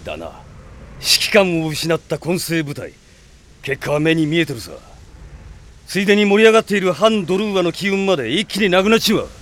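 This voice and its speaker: An actor playing a blunt, gruff male mercenary with a rough, confrontational way of talking in a radio drama. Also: high voice, big pitch swings, brisk, fairly bright tone. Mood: stern.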